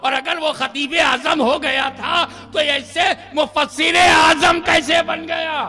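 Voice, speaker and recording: A man delivering an impassioned religious speech, shouting rhythmic refrains to a gathering. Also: very high-pitched, steady tone, slow pace, a faint crowd. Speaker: Islamic preacher.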